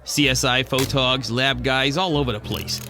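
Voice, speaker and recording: A man's voice: mid-range voice, fairly even intonation, normal speed, over music, close to an everyday voice. A man playing a hard-boiled penguin private eye, narrating a noir radio drama in wisecracking slang. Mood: world-weary.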